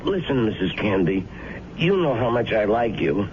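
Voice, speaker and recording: An actor playing a smooth-talking, deceitful young boarder in radio drama style, stammering and placating as he talks. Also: fairly low pitch, sing-song, moderate pace. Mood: placating, uneasy. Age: young adult. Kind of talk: 1970s radio drama acting. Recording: noisy, muffled old radio broadcast.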